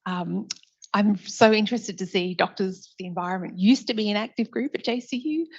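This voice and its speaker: Woman, quiet voice, audience question, medium pitch, muffled hall recording. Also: a little fast, slightly rough. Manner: soft-spoken, self-described quiet voice. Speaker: audience member asking a question.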